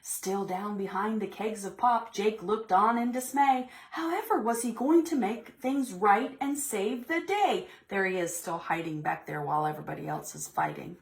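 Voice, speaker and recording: A woman reading a storybook aloud to preschoolers in an expressive, theatrical, deliberate way. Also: mid-range voice, vocal fry. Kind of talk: expressive storybook read-aloud for young children.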